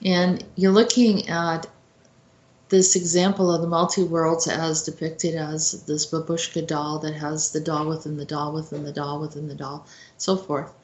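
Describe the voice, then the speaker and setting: Low-voiced woman in an echoey room. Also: level tone, unhurried, darkish tone. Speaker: host commentator. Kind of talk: informal explanatory talk, interpreting a poem. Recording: roomy, echoey sound.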